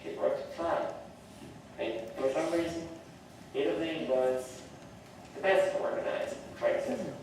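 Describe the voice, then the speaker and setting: A man's voice: on the high side, unhurried, long pauses. A man speaking informally as a panelist, sharing personal observations in a discussion. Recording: very echoey, distant mic, faint noise.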